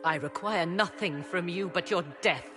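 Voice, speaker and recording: A woman's voice: on the low side, normal speed, music in the background, close to an everyday voice. A woman playing a defiant witch mother protecting her son, speaking formally and dramatically in a game cutscene. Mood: defiant, angry.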